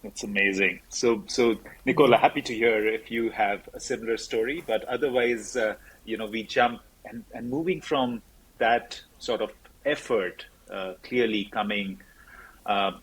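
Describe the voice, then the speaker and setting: A man's voice: fairly low pitch, measured pace, fairly bright tone, husky, low-quality recording. A man hosting a podcast interview, rambling and repeating words as he works his way toward his question. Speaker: podcast host.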